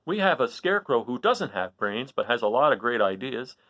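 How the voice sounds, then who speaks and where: A man narrates a children's story aloud. His medium-pitched voice moves widely up and down, and his phrasing is expressive and emphatic. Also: a little fast, dry recording, muffled recording.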